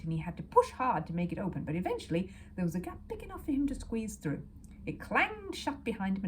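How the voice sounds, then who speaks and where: A woman reading a children's book aloud as a storyteller, expressive and dramatic, dropping into hushed asides. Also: mid-range voice, slight background noise, low-quality recording. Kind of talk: expressive children's audiobook narration.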